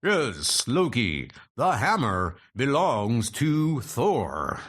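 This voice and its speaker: A man's voice: mid-range voice, wildly swooping pitch, very slow, a bit bright, husky, exaggerated voice. A man voicing a mighty thunder god in a comic book dub, speaking solemnly and formally. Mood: entranced, obedient.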